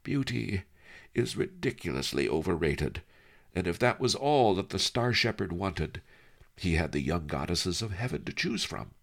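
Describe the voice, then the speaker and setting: A man voicing a calm, wily old sage who speaks in a dry, reasoning tone. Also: low-pitched, normal speed, vocal fry, voice pushed up, heavily acted. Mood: wry.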